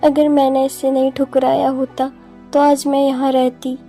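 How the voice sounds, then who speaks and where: Humbled young princess, very high-pitched, voiced by a woman. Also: completely flat delivery, a little slow, warm tone, faint music behind. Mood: wistful regret. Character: humbled young princess, once proud, now remorseful. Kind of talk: children's cartoon story acting.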